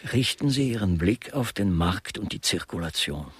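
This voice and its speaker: An actor playing an embittered, pompous man of letters, holding forth in ornate rants in a radio play with a husky voice. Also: deep voice, lively intonation, moderate pace, a bit bright, dry recording, full character voice. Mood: grandiose, bitter. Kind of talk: German radio play dialogue.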